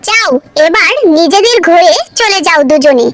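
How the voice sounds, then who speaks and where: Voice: very high voice, expressive, moderate pace, a bit bright. An actor playing a stern, exasperated male bird husband in a fairy-tale cartoon, speaking in a commanding, threatening way. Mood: stern warning. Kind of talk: cartoon dialogue acting.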